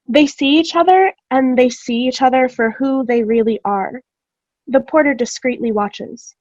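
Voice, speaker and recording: A woman's voice: high voice, measured pace, darkish tone, dry recording. A woman reading stage directions as scripted narration for a play, recorded over a video call. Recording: dry, slightly dull video call audio.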